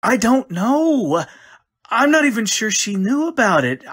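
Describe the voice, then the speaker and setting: A man acting a modest, flustered bereaved husband in an old-time radio drama, halting and hesitant. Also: sits high, very animated, a bit bright, breathy edge, exaggerated voice. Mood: flustered, evasive.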